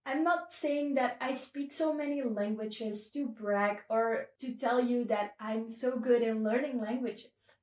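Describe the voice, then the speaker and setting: A woman whose first language is Dutch leads an online language lesson in a fairly high voice and an encouraging tone, often turning questions back to her viewers. The sound is roomy, with her voice set back a little from the mic. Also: darkish tone, far from the mic. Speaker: Dutch language teacher, channel host.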